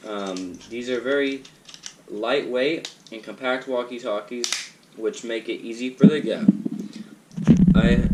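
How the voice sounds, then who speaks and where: A man giving a casual unboxing demonstration in a halting way, often repeating and correcting himself. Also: medium pitch. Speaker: product reviewer. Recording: noisy recording with loud background noise and clicks.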